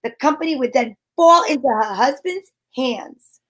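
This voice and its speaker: A woman playing a sharp-witted, wisecracking private detective in an old-time radio drama, talking in breezy slang. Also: high-pitched, moderate pace. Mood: wry, explaining.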